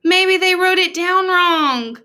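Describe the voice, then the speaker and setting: Lady reading as a longing little schoolboy from a storybook, high n bright, earnest. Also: takes it slowly, full character voice. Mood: hopeful.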